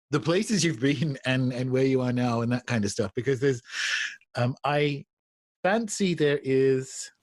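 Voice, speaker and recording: A male podcast host asks long, meandering questions full of restarts and hesitations. His voice sits at an average pitch. The sound is dry and close, with no room around it. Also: darkish tone, no room sound. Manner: rambling, restarts questions, many ums. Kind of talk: casual interview chat.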